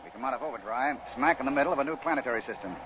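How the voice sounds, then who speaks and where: An actor in an old-time radio drama playing a gruff, impatient freighter captain who gives brusque, clipped commands. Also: male voice, medium pitch, lively intonation, very fast, lightly acted. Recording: noisy, muffled vintage radio recording.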